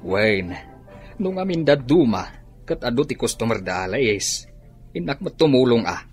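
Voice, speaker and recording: A man playing a concerned Filipino father in a radio drama, with a husky voice and a Filipino accent. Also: mid-range voice, sing-song, unhurried, soft background music, heavily acted. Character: plain-spoken Filipino father, fretting over his grown children. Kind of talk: Ilocano radio drama acting.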